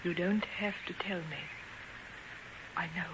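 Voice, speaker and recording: An actress playing a devoted, anxious young fiancée in old-time radio drama, with a tender, pleading, melodramatic manner. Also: female voice, fairly low pitch, fairly even intonation, slow pace. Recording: dull, noisy old radio recording.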